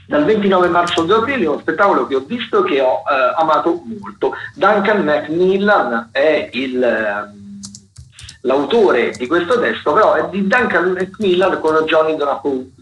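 A man hosting a radio show, talking semi-improvised through event listings, often halting to search for words and doubling back. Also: a little high, lively intonation, a bit bright, reverberant, muffled recording, a little hiss or hum. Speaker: radio host presenting theatre listings.

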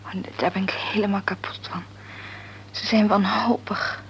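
A woman playing an upset young mother in a radio play, speaking hushed and breathy. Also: mid-range voice, fairly even intonation, normal speed, in a whisper, lightly acted.

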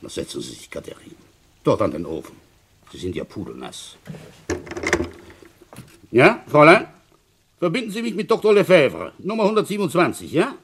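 An actor playing a gruff, world-weary middle-aged village police commissioner in a German radio crime play, talking in a grumbling, conversational way. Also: male voice, fairly high pitch, huge pitch swings, normal speed, pauses often. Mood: questioning, then brisk, loud. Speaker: narrator and lead character.

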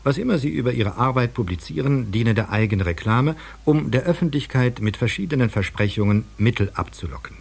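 Man, formal scripted narration, middle pitch, gravelly and husky, measured. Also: lively intonation. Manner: measured, articulate. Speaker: radio speaker.

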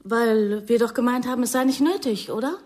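A woman's voice: on the high side, brisk, a little mellow, close to an everyday voice. An actress playing the young adult daughter of a domineering Parisian mother in a radio crime drama. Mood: defensive.

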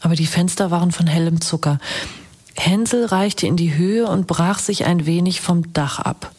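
An actress playing a hushed, breathy fairy-tale storyteller reading from a storybook in a radio drama. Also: female voice, fairly low pitch, normal speed. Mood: hushed, narrating.

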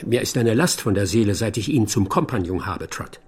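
Tired trusting gentleman, lowish, raspy, radio drama. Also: lively intonation, brisk. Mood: relieved, feeble. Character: weary, trusting gentleman business owner. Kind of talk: German radio play acting.

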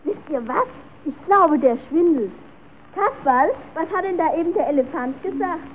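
Casting: pushy, inquisitive youngster, high voice, radio play for children, thin hissy old sound. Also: female voice, lively intonation, moderate pace. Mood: sceptical. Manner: insistent, questioning, bossy. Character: curious, cheeky child at the zoo, sceptical and demanding. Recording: tinny, narrowband, hissy old radio recording.

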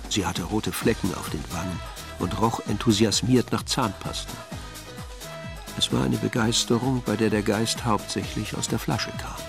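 An actor as the dryly ironic storyteller, reading scripted literary narration in a German radio play. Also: male voice, fairly low pitch, moderate pace, vocal fry, music in the background. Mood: dry, measured.

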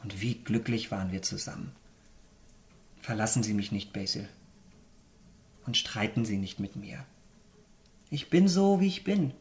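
A man's voice: lowish voice, unhurried, long pauses. Theatrical, self-absorbed young man. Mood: soft, pleading. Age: young adult. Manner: theatrical, eloquent, declamatory. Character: vain, callous young aesthete, self-absorbed and passionate. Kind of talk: German radio drama acting.

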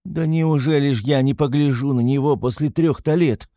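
A man's voice: lowish voice, moderate pace, warm tone, slightly rough, no echo, clean studio sound. A man voicing a timid, anxious middle-aged mother in an audiobook, tearful and easily frightened, pleading and fretful.

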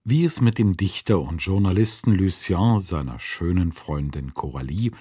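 Deep, husky male radio announcer. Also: lively intonation, moderate pace, dark tone, no echo, clean studio sound. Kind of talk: scripted programme announcement.

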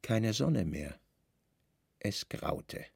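A man narrating a literary radio play in a grave, deliberate storytelling manner. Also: fairly low pitch, sing-song, vocal fry, husky, dry recording, studio quality, faint music behind.

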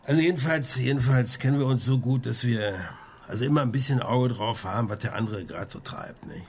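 A man's voice: mid-range voice, a little fast, darkish tone, husky. An actor playing a chatty, sly male junk-shop dealer under questioning in a radio crime drama, rambling colloquially with frequent tag questions. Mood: sly, amused.

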